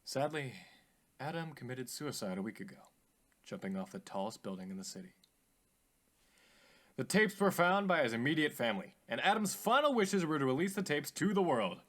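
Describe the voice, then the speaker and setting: A man acts as a radio drama host, polished and eager to unveil mysteries. His mid-pitched voice swings widely as he presents with deliberate showmanship. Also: sing-song, fairly bright tone. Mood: showy, intrigued. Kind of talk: scripted radio show presenting.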